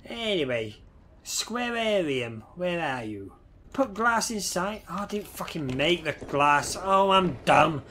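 A man's voice: sits high, lively intonation, slow pace, pushed and bright, a little hiss or hum. A man in his thirties with a head cold and a nasal voice, chatting casually over a game and swearing at the creatures on screen. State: head cold, stuffy nose. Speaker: gameplay streamer. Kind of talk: casual gameplay commentary. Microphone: headset.

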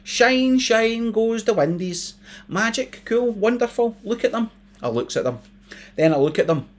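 Picture a wide-eyed Scottish teenage boy who drifts off mid-thought and rambles on in a high, lilting voice, as a male reader puts him on. He sounds simple, dreamy and easily distracted. A storybook narration told from inside his head. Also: lively intonation, a little slow. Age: young adult.

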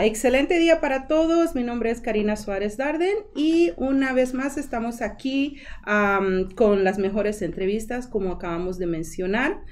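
A woman hosting a community morning show, chatting casually with her guests and often pausing on fillers. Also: mid-range voice. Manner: warm, chatty, frequent fillers like "ah" and "am". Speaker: host. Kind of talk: casual chat show interview.

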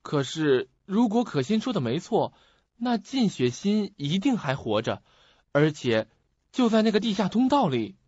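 An actor in an audio drama playing an earnest, puzzled young student who questions and muses to himself. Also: male voice, sits high, big pitch swings, measured pace, a little mellow, husky, no echo, exaggerated voice. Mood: urgent, pondering. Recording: muffled lo-fi audio.

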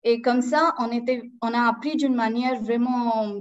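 A woman's voice: fairly high pitch, steady tone, moderate pace. A woman in her thirties from India, a native Marathi speaker with an Indian accent, talking as a guest on a video call, with many hesitant fillers and restarts. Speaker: guest, Sanskrit teacher. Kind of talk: casual interview. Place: small room indoors. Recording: dull lo-fi video call audio.

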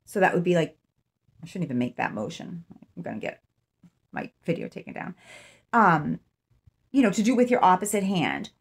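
A middle-aged woman with a slightly low voice talks casually to camera. She sounds like a true-crime vlogger reasoning out loud, conversational and unscripted, speaking into a phone indoors. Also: big pitch swings, moderate pace, gravelly, pauses often, a little hiss or hum. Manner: conversational, thinking aloud. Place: small room indoors, seated in an armchair.

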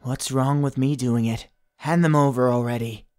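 A man playing a gruff, bossy companion who secretly cares, speaking tersely in a fan dub. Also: medium pitch, fairly even intonation, normal speed, a little mellow, close to an everyday voice. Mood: gruff, impatient. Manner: terse, commanding.

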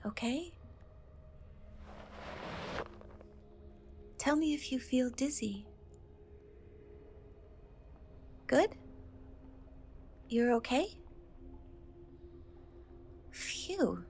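A woman playing a gentle, caring young cat girl rescuer in a soft-spoken fantasy ASMR roleplay, reassuring and a little hesitant. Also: sits high, big pitch swings, slow, pauses often, faint music behind, exaggerated voice. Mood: soothing, concerned.

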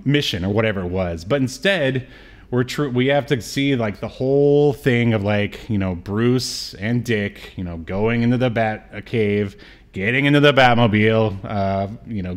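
A middle-aged man co-hosting a podcast over a video call, discussing movies casually in a joking manner. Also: mid-range voice. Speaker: podcast co-host. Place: indoor room, video call.

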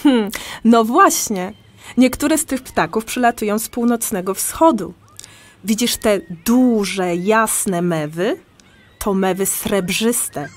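An actress playing a patient, enthusiastic amateur birdwatcher who explains things in a conversational audio drama. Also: female voice, medium pitch, slow, close to an everyday voice. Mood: explaining.

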